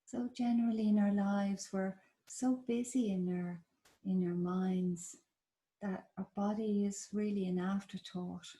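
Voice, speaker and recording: Slow, soothing Irish woman guiding meditation. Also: mid-range voice, fairly even intonation. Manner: slow, soft and soothing, drawing out phrases. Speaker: meditation teacher. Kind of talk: guided meditation.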